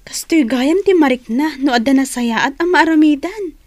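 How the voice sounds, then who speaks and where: A woman's voice: sits high, expressive, normal speed, a little mellow, no echo. An actress playing an earnest, eager young woman in an Ilocano radio drama, speaking expressively. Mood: moved, glad. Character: young woman named Jenny, wistful, eager to help.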